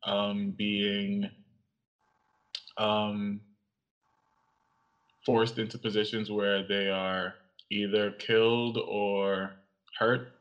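Young man from Cameroon, flat lo-fi voice. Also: lowish voice. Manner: measured and reflective, some pauses. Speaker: guest, audiovisual artist and writer. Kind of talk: interview conversation. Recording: lo-fi, slightly dull sound.

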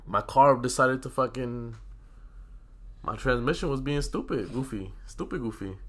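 A man's voice: medium pitch, normal speed, fairly bright tone. A young man co-hosting a podcast in casual chat, sick and coughing, talking in a loose, profane, rambling way. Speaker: podcast co-host.

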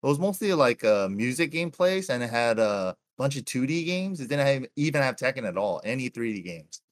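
A man's voice: mid-range voice, sing-song, fairly quick. Laid-back man saying "dude" a lot. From Hawaii. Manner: laid-back, keeps calling people "dude". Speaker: podcast guest. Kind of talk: casual chat.

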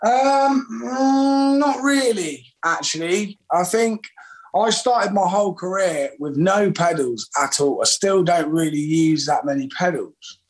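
A man, a musician chatting casually in an interview in a relaxed, rambling, self-deprecating way. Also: high-pitched, unhurried. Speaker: musician guest.

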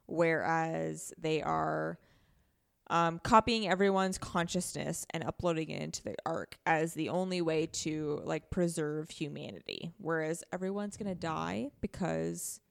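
A woman's voice: sits low, unhurried, vocal fry, clean tone. A woman talking casually and informally as a podcast guest discussing a video game, often voicing her own thoughts as she goes.

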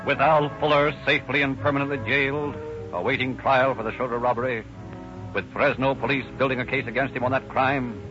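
A man reading the formal scripted narration of an old radio crime drama, with the dull sound of an old radio recording. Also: mid-range voice. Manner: steady, formal.

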